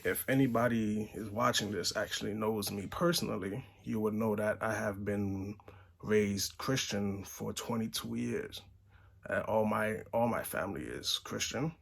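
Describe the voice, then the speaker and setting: A man's voice: on the low side, vocal fry. A man in his twenties from Haiti, talking earnestly to camera as a channel host, often restarting his sentences and tagging them with "right?". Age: 20s. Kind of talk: casual vlog monologue. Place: Buffalo, New York.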